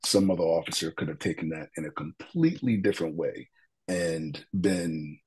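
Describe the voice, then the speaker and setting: Deep husky man telling a story. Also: lively intonation, normal speed, darkish tone. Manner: earnest, reflective, with fillers and restarts. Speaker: guest. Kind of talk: interview storytelling.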